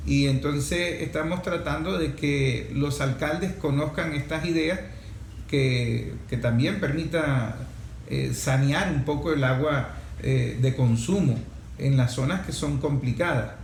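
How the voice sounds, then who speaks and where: A man's voice: a little high, slow pace, creaky. A man, hosting and moderating a conversational radio interview. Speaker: radio host. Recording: roomy echo, faint background noise.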